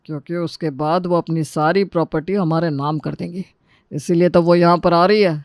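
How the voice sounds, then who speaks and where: A man's voice: a little high, steady tone, moderate pace, dry recording, clean studio sound, natural voice. A man voicing a middle-aged father-in-law in a storybook tale, scheming, urging and ingratiating.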